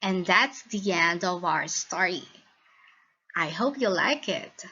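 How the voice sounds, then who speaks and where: Slow-speaking Filipino woman teacher, dull recording. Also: lowish voice, lively intonation, pushed and bright, slightly rough. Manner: deliberate, instructional, checks with listeners. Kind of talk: scripted lesson narration. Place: recorded voice-over for slide lesson.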